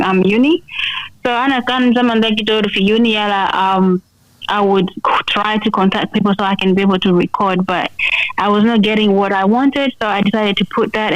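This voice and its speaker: A woman's voice: mid-range voice, no echo, poor audio. A woman singer-songwriter talking casually in a radio interview, switching between English and another language.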